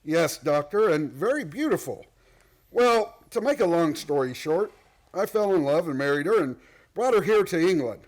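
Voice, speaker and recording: A man's voice: on the high side, lively intonation, normal speed, natural voice. An actor playing a proud, domineering, wealthy man in a radio play, blunt, formal and defensive. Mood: confessional.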